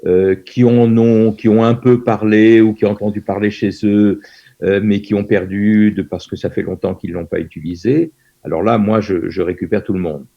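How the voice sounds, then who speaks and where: Low gravelly older man, hesitant. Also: fairly even intonation, moderate pace, lo-fi sound. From France. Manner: hesitant, many fillers and restarts. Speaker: Yiddish teacher and guest. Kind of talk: interview over video call. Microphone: video call.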